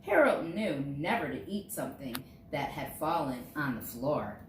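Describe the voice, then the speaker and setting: In a roomy, echoing space, a woman a little away from the mic reads a children's storybook aloud. Her pitch moves in lively swings as she stresses key words, and she takes her time over each line. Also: moderate pace. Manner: expressive, dramatizing key words for young listeners. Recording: roomy echo, distant mic, faint noise.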